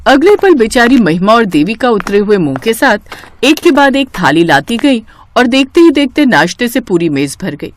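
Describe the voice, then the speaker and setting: A woman narrating a scripted story in an expressive storytelling manner. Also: on the high side, normal speed, slight background noise.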